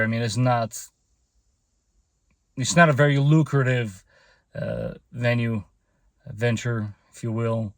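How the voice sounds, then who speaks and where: A man's voice: medium pitch, darkish tone, no echo. Earnest Portuguese-accented man in his forties. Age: 40s. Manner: earnest, grateful, hesitant with fillers. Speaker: channel host and mechanic. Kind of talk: casual heartfelt talk to camera.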